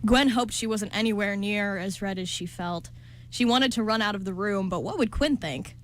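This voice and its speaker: A woman's voice: mid-range voice, normal speed. A woman narrating a scripted story as part of a radio play.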